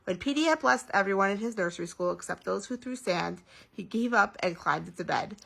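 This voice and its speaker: A woman reading a children's bedtime story aloud in an expressive, animated way, drawing out some words. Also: medium pitch, fairly quick, vocal fry.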